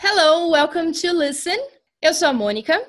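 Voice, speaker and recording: Podcast host: Brazilian woman, high voice, informal and playful interviewing style. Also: animated, moderate pace, a bit bright, soft background music. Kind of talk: casual chat interview.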